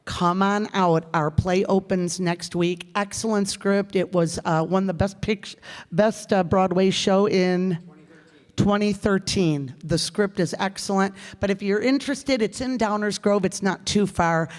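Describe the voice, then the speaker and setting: A woman's voice: medium pitch, measured pace. An American woman, a theater director, making informal, enthusiastic and rambling announcements to a live audience. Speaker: director and actor in a radio theater group. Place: live performance hall. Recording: reverberant hall.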